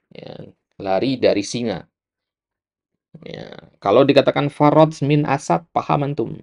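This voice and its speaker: A man's voice: medium pitch, gravelly, a little mellow. A man with an Indonesian accent teaching a religious studies lesson, explaining step by step with repetition and short interjected check words. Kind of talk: explanatory religious lecture, reading and glossing an Arabic text.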